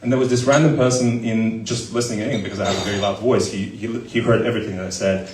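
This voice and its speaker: A man with a fairly low voice tells founder stories at a live event, rambling and full of fillers. The sound is roomy and echoey, his voice picked up from a distance. He talks in a loose, anecdotal way. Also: fairly even intonation, fast, a little hiss or hum. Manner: talkative, many fillers, anecdotal. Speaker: startup founder and CEO as guest. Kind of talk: casual Q&A answers at a live event. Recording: echoey room, voice from far off.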